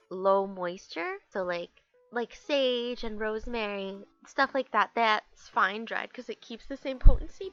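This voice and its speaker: Young woman, stuffed-up nasal voice, bright, rambling casual chat, muffled lo-fi sound. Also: a little high. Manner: bubbly, rambling, many fillers. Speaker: artist chatting while drawing. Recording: dull lo-fi recording.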